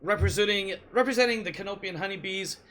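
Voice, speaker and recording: A man's voice: high-pitched, quick pace, a bit bright. Rambling, joking male game league host. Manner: joking, rambling, playful asides. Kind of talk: casual game show hosting. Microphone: computer mic at home desk.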